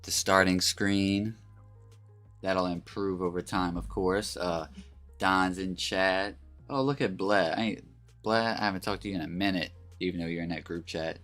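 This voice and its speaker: A laid-back man with a very low, congested voice hosts a live stream and greets chat with joking ease. A cold leaves his voice stuffy and a little raspy, with faint music playing behind him. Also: fairly even intonation, normal speed, fairly bright tone. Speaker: stream co-host.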